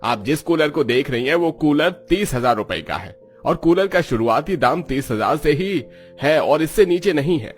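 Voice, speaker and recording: A man voicing a polite shop salesman pushing his goods in an animated story. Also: fairly high pitch, moderate pace, soft background music, close to an everyday voice. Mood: matter-of-fact. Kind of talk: animated Hindi story acting.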